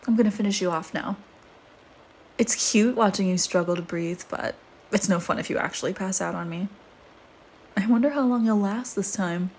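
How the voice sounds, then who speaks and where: A woman's voice: medium pitch, moderate pace, pauses often, darkish tone, lightly acted. Teasing vampire girl, acted by a woman, noisy background. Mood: teasing, predatory. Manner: sardonic, teasing, casual murmuring with sighs and whispered asides. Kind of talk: intimate audio roleplay addressed to the listener.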